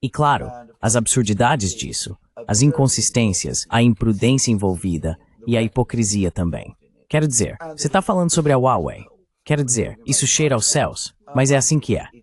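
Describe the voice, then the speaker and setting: A man's voice: mid-range voice, sing-song, moderate pace. A man voicing a translated political discussion, giving critical, opinionated commentary.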